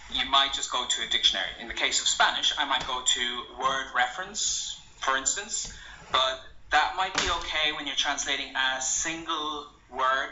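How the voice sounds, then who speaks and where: Bright-voiced man, roomy echo. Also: mid-range voice, a little hiss or hum. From Ireland. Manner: relaxed, meandering, with frequent ums and uhs. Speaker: language blogger. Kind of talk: casual app walkthrough. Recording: roomy, slightly dull home recording.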